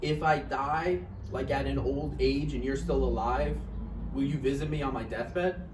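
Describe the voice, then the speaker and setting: A man's voice: medium pitch, steady tone, measured pace, natural voice. A man in a play table read, playing a neurotic, needy failed writer arguing with an ex, hedging conversationally. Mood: needy, awkward. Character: Roger, neurotic needy male failed writer, argumentative ex-boyfriend. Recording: echoey room, distant mic, faint noise.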